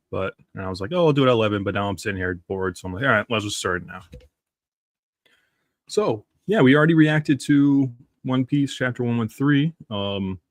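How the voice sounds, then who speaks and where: A man's voice: on the low side, dry recording. A man with a stuffy nose from the flu, chatting casually and rambling on a live stream. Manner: rambling, chatty, reads comics aloud.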